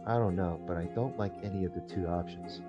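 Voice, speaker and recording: A man's voice: sits low, brisk. A man hosting a gaming video, reading visual novel text aloud and adding casual, rambling commentary. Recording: dull lo-fi mic under loud music. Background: game music.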